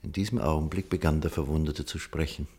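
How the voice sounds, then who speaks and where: A low-pitched, earnest male doctor in a German radio play. He speaks firmly and with urgency, reasoning like someone responsible for a patient's life. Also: steady tone, fast talker, a little mellow. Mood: grave narrating. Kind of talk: German radio drama acting and first-person narration.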